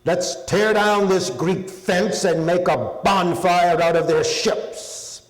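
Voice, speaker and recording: A man reciting epic poetry, voicing a bold, fiery Trojan prince with shouted, drawn-out commands. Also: sits high, fairly even intonation, pitched above the performer's own voice. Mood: fiery, urging. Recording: echoey, reverberant hall.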